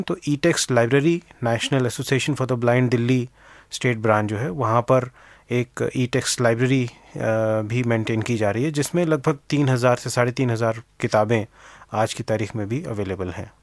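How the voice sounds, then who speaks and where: Indian male interview guest, mid-pitched, methodical explainer who code-mixes English, close dry recording. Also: no echo. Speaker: interview guest, organisation director.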